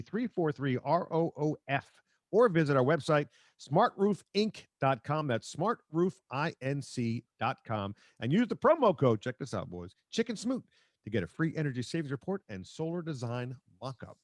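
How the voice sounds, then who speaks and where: A man's voice: on the high side, very animated, moderate pace, dry recording, studio quality. A middle-aged man hosting a sports podcast in a chatty, wry, conversational way. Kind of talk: casual conversational banter.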